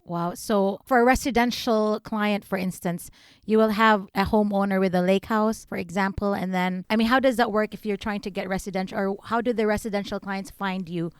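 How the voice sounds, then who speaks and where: A woman's voice: mid-range voice, fairly even intonation, brisk, clear, resonant tone, no echo, clean studio sound. A woman hosting a podcast interview, curious and friendly, asking questions and giggling often. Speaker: podcast host.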